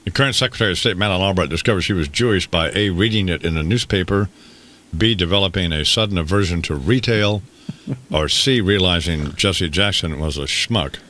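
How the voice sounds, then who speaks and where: A man's voice: low voice, brisk, sharp tone. A man hosting a morning radio show with casual talk and a dry, wry delivery. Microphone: close radio studio microphone.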